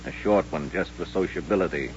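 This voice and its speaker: An actor playing a menacing, sardonic western gunfighter with a mocking, folksy drawl in a radio drama. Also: male voice, low voice, brisk, lightly acted. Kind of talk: old-time radio western acting. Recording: muffled old radio recording with hum.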